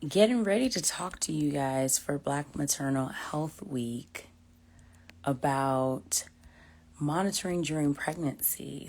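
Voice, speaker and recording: A hoarse woman hosting a live video chat, welcoming and effusive. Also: low voice, a little hiss or hum. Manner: warm, effusive. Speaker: host. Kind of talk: casual live stream chat. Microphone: video call.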